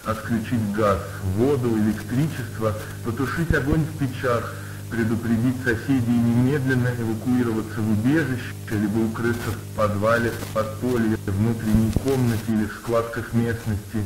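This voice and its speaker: A man's voice: medium pitch, steady tone, normal speed, close to an everyday voice. Man acting as stern emergency announcer, formal delivery, echoey, noisy humming old broadcast. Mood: grave, urgent. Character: stern civil defense announcer giving air raid warning. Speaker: civil defense announcer.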